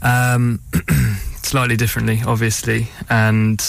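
A man's voice: medium pitch, monotone, slow, gravelly, no echo. A man speaking as a band musician in a casual interview, hesitant and often rephrasing himself. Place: radio studio.

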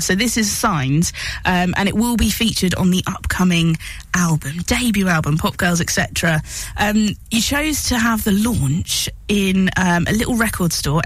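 A woman's voice: mid-range voice, dry recording, rough recording. A woman hosting a radio interview in a studio, speaking into a studio microphone. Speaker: radio host.

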